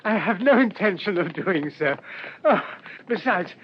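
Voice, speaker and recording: An actor playing a mild, unflappable little priest in a radio drama, prim and understated. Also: male voice, high-pitched, expressive, a little slow. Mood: composed. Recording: dull lo-fi with faint noise.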